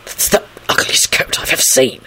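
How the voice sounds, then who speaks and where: A woman voicing an irritable, exasperated, foul-mouthed father in an audiobook, with a gruff delivery. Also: deep voice, big pitch swings, a little fast, exaggerated voice. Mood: hissing, disgusted. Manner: gruff, swearing, barking and hissing.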